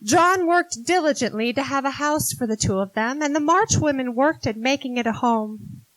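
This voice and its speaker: A woman's voice: sits high, normal speed, no echo, lightly acted, low-quality recording. A woman acting a spirited, blunt young writer sister in a 1940s-style radio drama, brash and dramatic. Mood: warm reminiscing. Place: live stage in a theater.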